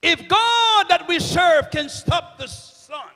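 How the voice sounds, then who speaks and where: A middle-aged man preaching a sermon on a church stage with a handheld microphone, swinging from loud shouts to hushed near-whispers. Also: extremely high pitch, expressive, takes it slowly. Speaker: minister. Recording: reverberant church hall.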